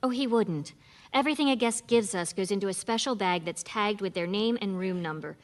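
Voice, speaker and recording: A woman's voice: medium pitch, fairly quick, close to an everyday voice. An actress playing a practical, wry woman who runs a hotel, in a businesslike manner, in a radio mystery. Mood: explaining. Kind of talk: radio mystery drama acting.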